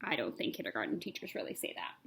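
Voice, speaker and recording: A woman reading a picture book as a pre-K teacher, expressive, with simple explanatory asides for young children. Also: rumbling low, fast.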